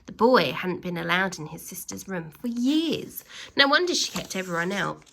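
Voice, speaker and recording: A woman reading a children's story aloud expressively, with theatrical, drawn-out emphasis on key words. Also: fairly low pitch, lively intonation, gravelly, pushed and bright, slight background noise.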